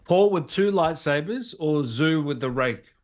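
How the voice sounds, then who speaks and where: A man's voice: on the high side, lively intonation, measured pace. A man hosting an interview in a casual, chatty style. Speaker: interviewer, host. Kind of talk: casual chat.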